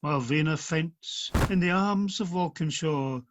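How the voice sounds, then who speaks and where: A man's voice: on the high side, unhurried, highs cut off, background noise. A man narrating stage directions from a script for a radio play.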